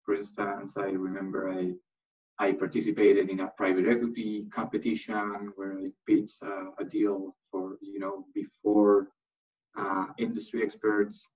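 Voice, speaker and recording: Slow, flat-voiced man from Chile. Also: lowish voice, distant mic, dull audio. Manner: hesitant, many fillers and restarts. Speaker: interview guest, MBA graduate. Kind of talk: remote interview answers.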